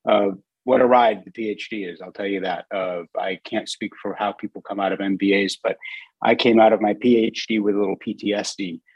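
A man answering interview questions as a podcast guest, reflective and deliberate, building his thoughts in short, halting phrases. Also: mid-range voice, husky, dry recording, low-quality recording. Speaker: podcast guest, content agency president.

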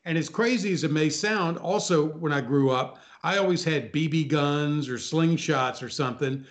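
A man's voice: on the high side, normal speed. A man in his sixties reminiscing casually as a talk show guest, often repeating phrases for emphasis. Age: 60s.

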